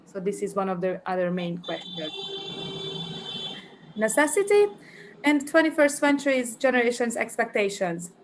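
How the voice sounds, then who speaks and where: A woman's voice: a little high, big pitch swings, moderate pace, long pauses, a little hiss or hum, rough recording. Hesitant Hungarian woman presenting a talk. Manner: hesitant, many fillers and restarts while thinking aloud. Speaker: conference presenter. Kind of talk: academic conference presentation.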